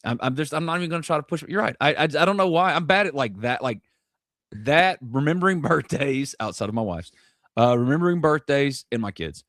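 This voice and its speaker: A man's voice: a little high, expressive, fairly quick, fairly bright tone, dry recording, studio quality. Joking man hosting a sports show. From North Mississippi. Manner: joking, rambling, self-deprecating. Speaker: sports podcast host. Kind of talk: casual live sports chat.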